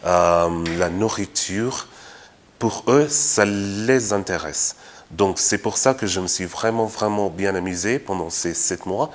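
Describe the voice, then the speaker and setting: A young man from Kenya, a former language assistant, speaking in a testimonial with many fillers and restarts. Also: fairly low pitch. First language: English. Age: young adult. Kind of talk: testimonial talk to camera.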